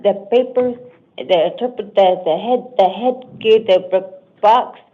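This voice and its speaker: A woman from Arizona, a defendant in a court hearing, who talks haltingly and stumbles over her words. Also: medium pitch. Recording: tinny court livestream audio.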